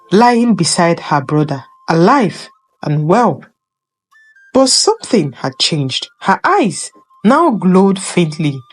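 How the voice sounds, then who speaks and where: A woman storyteller narrating a scripted folktale in a dramatic, deliberate manner. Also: medium pitch, poor audio.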